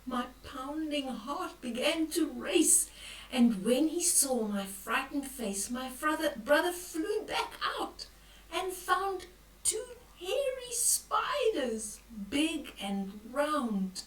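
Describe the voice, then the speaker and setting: An older woman reading a picture book aloud to children, expressive and dramatic, with hushed whispers and exclamations as she comments on the pictures. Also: sits high, slow pace. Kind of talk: bedtime read-aloud with asides on the pictures. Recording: roomy echo, distant from the mic.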